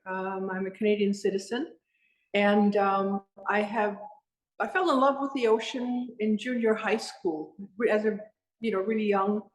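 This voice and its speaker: A woman's voice: mid-range voice, moderate pace. A woman from Sweden and Norway, a guest artist talking earnestly and passionately in casual interview talk at her exhibition. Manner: earnest, passionate, with frequent restarts. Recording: echoey room.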